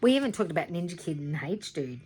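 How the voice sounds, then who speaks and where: A woman reads a children's storybook aloud like a primary teacher. Her voice sits slightly low and swings widely in pitch, with dramatic emphasis and little asides to her young listeners. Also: big pitch swings, fast, a little mellow. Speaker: teacher reading aloud to primary school students.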